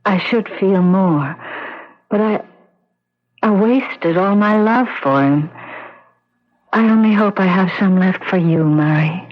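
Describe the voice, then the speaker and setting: An actress playing a wistful, emotionally drained widow in a radio drama, speaking hesitantly. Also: female voice, mid-range voice. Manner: slow, hesitant. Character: wistful, drained widow turning to her new love. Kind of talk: 1970s radio drama acting. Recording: dull old radio recording.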